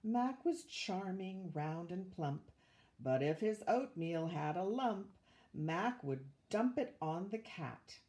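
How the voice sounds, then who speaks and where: An older woman reading a rhyming children's picture book aloud with measured, expressive storytelling. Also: fairly low pitch. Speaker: volunteer storybook reader, retired teacher.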